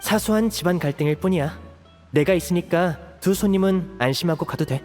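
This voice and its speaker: Game role: male actor as earnest, protective young man, measured and resolute, lightly high pitch, long reverb. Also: a little mellow, soft background music, natural voice. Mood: composed. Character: protective, earnest young elder brother figure, raised an orphan. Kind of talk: video game voice acting.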